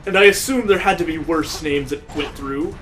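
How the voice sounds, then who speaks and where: A man's voice: a little high, normal speed, fairly bright tone. A man hosting a let's play, giving casual, sarcastic commentary as he plays a video game. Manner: wry, sarcastic joking. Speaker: gaming video host playing the game. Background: video game music.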